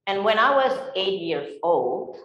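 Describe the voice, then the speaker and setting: A woman from Indonesia with an Indonesian accent, telling her life story as a panelist in an unhurried way with many fillers. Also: mid-range voice, big pitch swings, dull audio. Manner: unhurried, frequent fillers, warm laughs. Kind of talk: personal storytelling at a symposium. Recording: echoey lecture hall.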